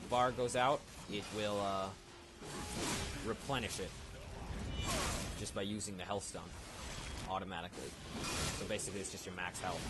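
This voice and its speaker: A man giving casual, off-the-cuff game commentary in a rambling, unsure way, often correcting himself. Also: lowish voice. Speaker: let's play gamer. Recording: noisy home game recording.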